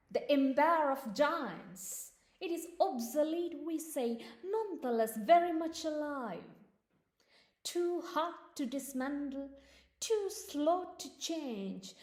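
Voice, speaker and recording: A woman whose first language is Tamil recites spoken-word poetry at a conference, with deliberate, emphatic phrasing, dramatic pauses and repetition. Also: high-pitched, a little mellow, roomy sound. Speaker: poet presenting at a conference. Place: conference hall, speaking to an audience.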